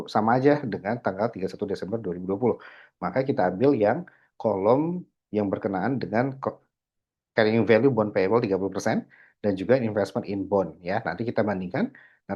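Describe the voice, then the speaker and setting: A man's voice: medium pitch, darkish tone, husky. A man lecturing, walking students through a worked accounting problem on screen, peppering his talk with "nah" and "ya". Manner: explanatory, filler "nah" and "ya", code-switching English terms. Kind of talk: screen-recorded lecture walkthrough. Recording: dull lo-fi mic.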